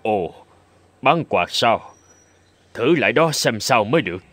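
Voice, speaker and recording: Courteous poet nobleman, animated delivery. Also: mid-range voice, measured pace. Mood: musing. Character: courteous middle-aged male poet and nobleman. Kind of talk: Vietnamese folk-tale cartoon dubbing. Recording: faint music behind the voice.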